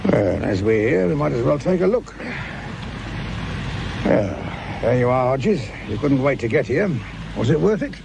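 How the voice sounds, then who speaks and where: Gravelly, sardonic middle-aged English police sergeant. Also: male voice, mid-range voice, big pitch swings, normal speed, background noise. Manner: sardonic, grumbling, dry-humoured. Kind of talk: radio drama acting.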